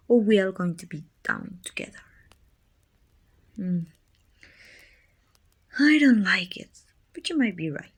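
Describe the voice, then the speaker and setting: A woman playing a dominant, haughty evil queen with a soft spot, musing imperiously in an ASMR roleplay. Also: medium pitch, heavily acted. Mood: scheming, reluctant. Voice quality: husky, breathy with whispered edges. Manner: imperious, musing, teasing condescension. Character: dominant, haughty evil queen softening toward her little helper.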